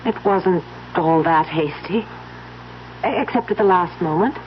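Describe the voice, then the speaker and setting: An actress playing a young, wistful, defensive stepdaughter in an old radio drama. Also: female voice, on the low side, measured pace, natural voice. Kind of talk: 1970s radio drama acting. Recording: dull lo-fi old radio broadcast, faint noise.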